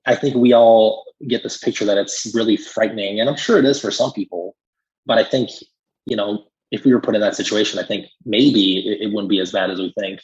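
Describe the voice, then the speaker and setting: A man with a low, husky voice talks through clinical points on a podcast. He is agreeable and explanatory, keeping things casual and illustrating with examples. The audio is slightly dull and lo-fi. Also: steady tone, brisk. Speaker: pharmacist and podcast co-host. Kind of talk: casual professional discussion.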